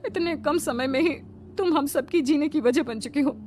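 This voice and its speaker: A woman's voice: high voice, normal speed, darkish tone, lightly acted. A woman voicing a demanding, quarrelsome older mother-in-law in a cartoon, bossy and cajoling. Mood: pleading.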